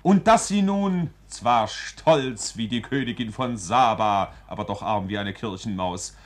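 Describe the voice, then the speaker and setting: A man's voice: fairly low pitch, measured pace, natural voice. A man playing a jovial, droll storyteller in a 1940s German radio drama, speaking emphatically and relishing each word. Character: jovial, droll male storyteller addressing honest folk. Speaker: narrator in a radio play.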